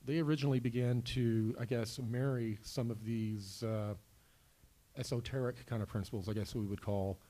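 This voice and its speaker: A man on a panel answering a question haltingly, often repeating words. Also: medium pitch, steady tone, mellow.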